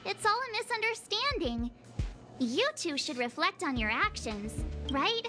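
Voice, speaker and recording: A woman playing a playful, teasing teenage girl adventurer in a video game, chatty, bubbly and expressive. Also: extremely high pitch, a little slow, music in the background, full character voice. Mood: upbeat.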